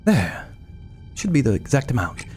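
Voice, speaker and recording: A man's voice: mid-range voice, wildly swooping pitch, moderate pace, darkish tone, soft background music. A man playing a secretive, intense scientist in an audio drama, speaking tersely and under his breath. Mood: furtive, intent. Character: secretive, intense male scientist conducting experiments.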